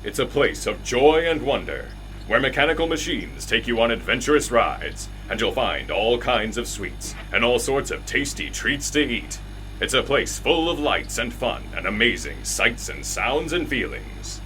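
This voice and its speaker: A man playing a grand, tongue-in-cheek storybook narrator in a radio drama, theatrical and deliberate. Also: medium pitch, sing-song, fairly bright tone, a little hiss or hum, rough recording. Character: grand male storybook narrator who talks back to characters.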